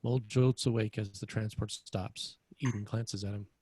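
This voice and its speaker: A man's voice: on the low side, steady tone, normal speed, a little mellow, husky. A man reading screenplay stage directions as a narrator at a table read.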